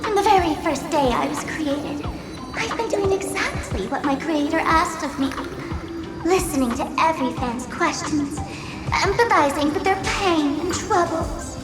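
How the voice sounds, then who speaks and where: A high, girlish game villainess, a smug young idol trickster who savors her words slowly. She is teasing and grandiose, like a performer on stage. Her voice rings in an echoing space with music underneath. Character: playful, theatrical young woman internet idol, smug trickster. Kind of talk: video game voice acting.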